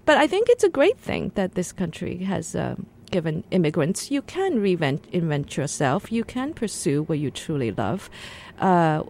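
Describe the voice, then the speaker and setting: A woman from Shanghai, China, speaking haltingly and reflectively as a guest on a radio interview, recorded in a radio studio. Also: lowish voice, sing-song, gravelly, a little mellow, a little hiss or hum. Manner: halting, reflective, many pauses and restarts.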